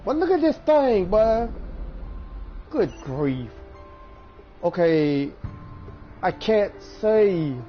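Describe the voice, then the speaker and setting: Slow-talking high-voiced male gamer over music. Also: sing-song. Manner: muttering to himself, counting aloud. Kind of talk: casual gameplay commentary. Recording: dull game-stream audio with music behind. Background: clear game music.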